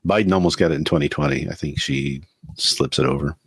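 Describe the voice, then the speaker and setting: A man with an extremely low, deep voice co-hosts a casual political podcast. He gives his predictions in a measured, thoughtful way. He is recorded close, with a dry sound. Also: creaky, breathy edge, no room sound. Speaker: podcast co-host.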